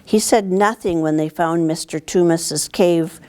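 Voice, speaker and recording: An older woman reads a picture book aloud as a guest storyteller, in an expressive, deliberate way. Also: on the low side, a little hiss or hum. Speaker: town councillor reading to students. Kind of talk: read-aloud storybook narration. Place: indoors, library corner with bookshelves.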